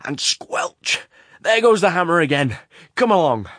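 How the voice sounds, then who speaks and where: A man voicing a forceful, loquacious ironworks man in a storybook reading, with emphatic, abrupt delivery and an undertone of menace. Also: fairly high pitch, very animated, measured pace, no room sound, clean studio sound, pitched above the performer's own voice, heavily acted. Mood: excited, boastful. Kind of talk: dramatized storybook narration.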